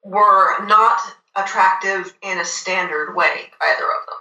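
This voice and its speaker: Very bright voice, echoey and distant. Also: mid-range voice, unhurried. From United States. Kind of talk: casual fan chat.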